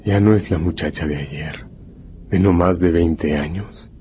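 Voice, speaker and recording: An actor playing a grave, intimate male narrator who addresses the hero directly in a dramatic, deliberate radio-novel style. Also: low voice, warm tone, slight background noise. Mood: grave, sensual.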